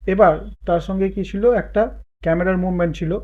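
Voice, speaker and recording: A man hosting a step-by-step software tutorial in voice-over. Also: high voice, fairly even intonation, normal speed, narrow, tinny audio. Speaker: tutorial host.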